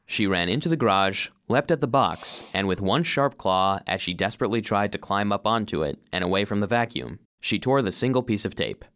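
A man's voice: lowish voice, animated, brisk, hardly any pauses, fairly bright tone. A man playing an earnest, eager cleaning robot who narrates his own story expressively in a children's audio drama. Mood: excited, hushed.

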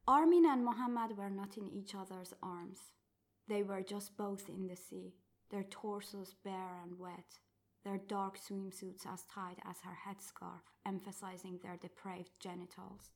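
A woman writer in her thirties with a gravelly, creaky voice. She reads her own prose aloud and then talks animatedly about her work, filling pauses and correcting herself as she goes. She grew up speaking Farsi. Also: medium pitch. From Iran. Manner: animated, with frequent fillers and self-corrections. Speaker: author, guest. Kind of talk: reading her fiction aloud, then casual interview talk.

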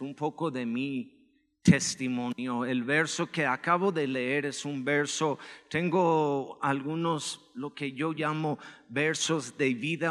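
A man with an American accent, a pastor giving a relaxed, joking sermon with personal testimony and many filler words. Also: mid-range voice. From United States. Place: church hall. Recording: echoey church hall.

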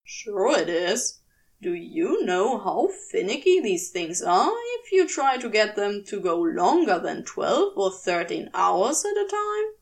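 A woman's voice: mid-range voice, big pitch swings, slow, far from the mic. A woman voicing a smug, teasing male demon in a story reading, with mocking faux sympathy. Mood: smugly defensive. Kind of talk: fan fiction audiobook character voice.

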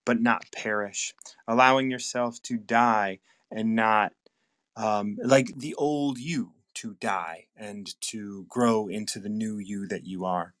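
Bright-sounding guy hosting a podcast, says yeah a lot, upbeat, laughs easy. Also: on the low side, takes it slowly. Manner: affirming, enthusiastic, laughs easily. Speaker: podcast host. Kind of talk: casual interview chat.

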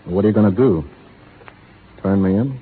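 A man's voice: lowish voice, moderate pace, close to an everyday voice. A man acting a defiant, carefree cattle rustler in a 1930s radio drama, with a breezy, folksy American Western way of talking. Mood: flippant. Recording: muffled, noisy 1930s radio broadcast.

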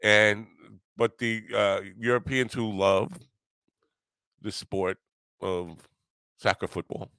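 A man of sixty-three hosting a podcast alone, talking in a casual, halting, meandering way with some swearing and self-deprecation. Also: fairly low pitch, steady tone, sharp tone, smooth, no room sound. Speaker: podcast host. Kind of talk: casual rambling solo monologue.

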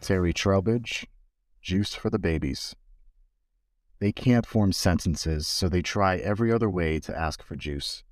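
A man's voice: deep voice, a little slow, no echo, clean studio sound, faint music behind. A man giving a literary reading of poems. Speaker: guest reader of poems.

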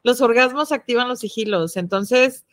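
A woman's voice: on the high side, moderate pace, dry recording, studio quality. A woman with a Mexican accent co-hosting a podcast, talking in a chatty, playful way with slangy asides. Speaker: podcast co-host. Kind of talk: casual podcast chat about the occult.

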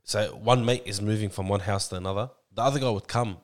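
A male podcast co-host with a fairly low voice. He chats casually and gives his opinions in blunt, everyday slang. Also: fast talker. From Australia, Lebanese background.

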